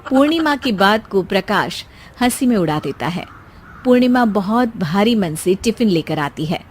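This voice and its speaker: A woman narrating a cartoon moral story in calm, scripted storytelling. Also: mid-range voice, moderate pace, slightly rough.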